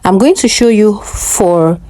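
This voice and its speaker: A woman's voice: medium pitch, slow pace, warm tone, dry recording. A woman hosting a tutorial, explaining step by step and often checking in with short questions. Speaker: tutorial host.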